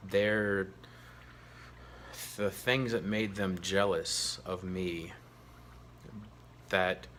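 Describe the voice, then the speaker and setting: A man with a very low voice and a lifelong stutter speaks straight to the camera, halting and earnest. He fills his pauses with ums and uhs. A faint noise sits behind him. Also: fairly bright tone. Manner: earnest and open. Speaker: teacher. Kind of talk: personal story told to camera.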